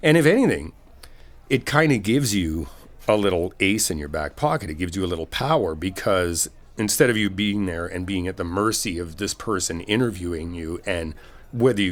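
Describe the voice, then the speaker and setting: Male, low-pitched and lively in intonation. Laid-back best friend played by a man for comfort audio roleplay, informal, supportive, rambling advice. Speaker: ASMR roleplay performer. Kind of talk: casual conversational comfort ASMR roleplay.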